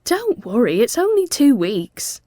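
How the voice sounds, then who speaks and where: A woman playing a cheerful, brisk female support worker in a dramatic story, with a bright, emphatic delivery. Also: a little high, huge pitch swings, no room sound, clean studio sound, pitched above the performer's own voice. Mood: brisk reassurance.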